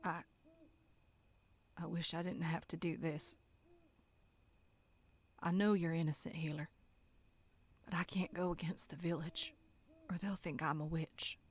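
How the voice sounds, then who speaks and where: A woman's voice: low-pitched, a little slow, pauses often, breathy edge, pitched below the performer's own voice, heavily acted. A woman voicing a reluctant, guilty village husband and father, hesitant and apologetic, in a horror roleplay. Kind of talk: dramatic horror roleplay acting.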